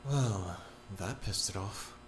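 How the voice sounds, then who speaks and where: A woman voicing a blunt young man who teases in a flat, sardonic way. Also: extremely low pitch, slow pace, noisy background.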